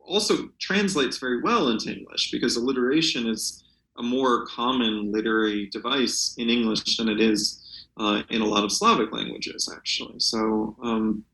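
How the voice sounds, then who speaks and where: Halting man with a bright, roomy sound. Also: mid-range voice. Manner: halting, hesitant, searching for words. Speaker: literary translator, interview guest. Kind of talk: unscripted interview discussion.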